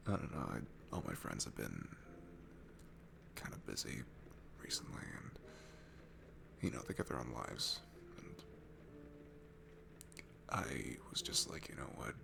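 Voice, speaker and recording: Man acting a shy guy with very creaky low voice. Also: sing-song, a little slow, long pauses, noisy background, heavily acted. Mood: sheepish. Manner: hesitant, stammering, self-deprecating, breaking into hushed breathy asides. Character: shy, nervous, sweet young man alone at a bar. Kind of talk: intimate ASMR roleplay audio acting. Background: music.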